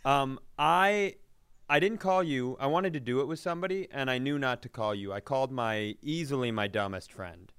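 A man's voice: mid-range voice, normal speed, fairly bright tone, smooth, no room sound. A man hosting a comedy podcast, telling stories casually in a dry, self-deprecating way. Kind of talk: casual comic banter.

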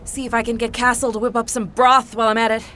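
A woman's voice: a little high, level tone, fast talker, a bit bright, lightly acted. A woman playing a worried, caring caretaker in an audio drama. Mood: practical. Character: worried, caring woman tending the returning travelers.

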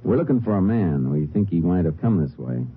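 An actor playing a steady, commanding Texas Ranger in a 1950s radio western, speaking tersely and with authority. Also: male voice, low-pitched, brisk, a little hiss or hum. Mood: firm, businesslike. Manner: terse, matter-of-fact, authoritative. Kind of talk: 1950s radio drama acting. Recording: muffled old radio recording, loud music.

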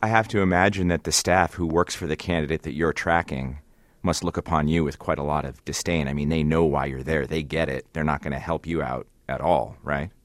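A male radio host with a low-pitched voice, asking questions in a conversational interview manner. The sound is dry and close, with very little room. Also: fairly even intonation, a little fast, no room sound.

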